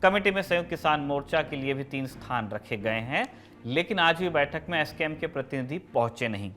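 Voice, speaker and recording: A man's voice: a little high, animated, a little fast, sharp tone. A man presenting scripted news as the host of a show.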